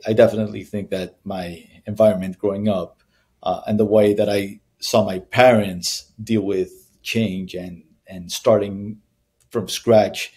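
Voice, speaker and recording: A man's voice: on the low side, darkish tone. A man in his thirties from Colombia, a company founder answering interview questions on a video call, thoughtful and full of hesitations and restarts. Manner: thoughtful, many fillers and restarts. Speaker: guest, brand founder. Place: small room with bare wall.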